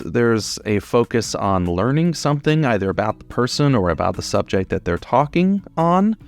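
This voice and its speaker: A man in his twenties giving a casual, unscripted explainer in an informal, conversational way, as a podcast producer guiding beginners. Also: lowish voice. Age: 20s.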